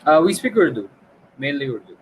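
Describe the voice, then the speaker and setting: A man's voice: on the high side, sing-song, fairly quick, slight background noise, low-quality recording. A man with a Pakistani accent, an online English tutor chatting casually on a video call, often restarting and repeating words.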